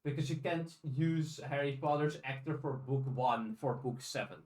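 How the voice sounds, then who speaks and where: A man's voice: mid-range voice, moderate pace, darkish tone, recorded from a distance, low-quality recording. A man hosting a podcast in casual conversation, thinking aloud analytically and often restarting his phrases. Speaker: podcast host. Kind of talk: casual chat.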